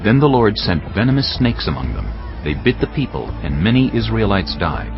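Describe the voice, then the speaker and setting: A man reads scripted scripture narration in a very deep voice. He is solemn and measured yet widely inflected, with music clearly behind him. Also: very animated, dull audio. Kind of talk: scripted audio Bible narration.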